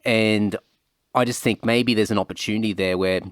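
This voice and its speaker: A man's voice: lowish voice, moderate pace, clean tone, no echo, clean studio sound. A man with an Australian accent hosting a podcast, chatting casually and rambling with frequent restarts. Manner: relaxed, rambling, many restarts and fillers. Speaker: podcast host. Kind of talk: casual interview chat.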